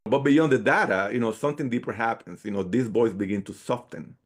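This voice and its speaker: A man's voice: medium pitch, fairly quick. A man from the Dominican Republic answering interview questions conversationally and reflectively, often checking in with "right" and "you know". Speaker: founder of an outdoor youth program, interview guest.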